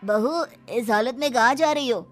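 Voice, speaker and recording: A stern, domineering village uncle in a cartoon drama, put on by a woman. The scolding voice is on the high side, with music behind. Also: moderate pace, natural voice. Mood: disapproving.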